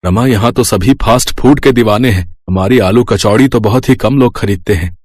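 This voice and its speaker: A man's voice: on the low side, moderate pace, a little mellow, dry recording, close to an everyday voice. A man voicing a poor, earnest village husband in scripted story dialogue. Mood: worried, earnest.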